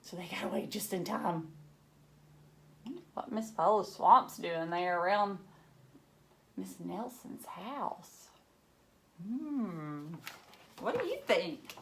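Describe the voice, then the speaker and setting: A woman's voice: medium pitch, animated, slow, long pauses. A woman reading a picture book aloud to children, theatrically, with questioning asides to the young listeners.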